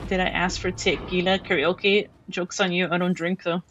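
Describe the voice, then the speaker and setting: A woman's voice: lowish voice, flat delivery, moderate pace, a bit bright. A woman from Mexico with a Mexican accent, streaming a game and chatting casually with her chat, often restarting her sentences. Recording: dull lo-fi stream audio.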